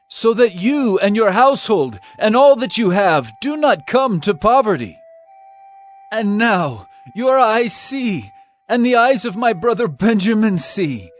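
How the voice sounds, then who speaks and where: An actor playing Joseph, an emotional young ruler revealing himself to his brothers, speaking earnestly in a dramatized scripture reading. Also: high voice. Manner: earnest, pleading.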